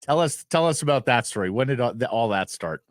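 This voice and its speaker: A man's voice: medium pitch, expressive, fairly quick, no room sound. Male radio interviewer, dry sound. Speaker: sports talk show host.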